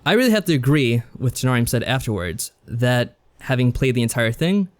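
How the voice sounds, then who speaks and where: A man's voice: mid-range voice, big pitch swings, fairly quick. A man co-hosting a podcast, talking through his review in a casual, conversational style. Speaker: podcast co-host.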